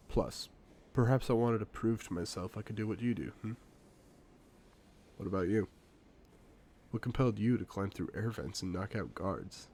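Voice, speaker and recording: A man playing a suave, confident secret agent in a spy audio drama, speaking in a playful, theatrical, deliberate way. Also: fairly low pitch, darkish tone, slight background noise. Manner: smooth-talking, playful, theatrical, deliberate phrasing. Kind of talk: scripted one-sided audio roleplay, spy drama.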